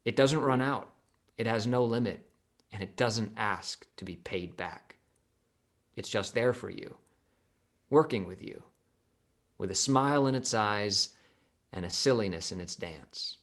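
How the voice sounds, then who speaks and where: A man reading a scripted reflection at a church service, in short, measured, emphatic phrases with pauses. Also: lowish voice. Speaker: congregation member reading his reflection.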